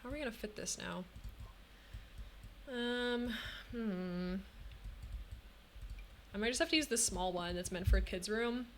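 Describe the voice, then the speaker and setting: A woman's voice: mid-range voice, measured pace, long pauses. A young woman talking casually through a live game stream, thinking aloud with lots of hmms and ums. Her throat feels a little scratchy.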